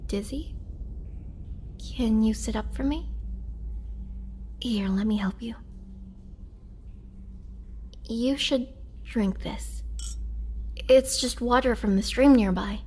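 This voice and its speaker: A woman playing a young vampire girlfriend, tender but bloodthirsty, in a roleplay audio drama with a soft, breathy, intimate delivery that slips into whispers. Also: fairly high pitch, slow pace, long pauses, a little hiss or hum. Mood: tender, soothing.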